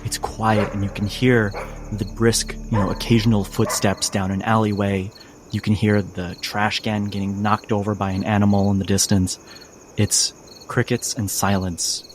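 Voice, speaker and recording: A man narrating as game master in the second person, measured and atmospheric. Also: lowish voice, level tone, noisy background. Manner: measured, scene-setting.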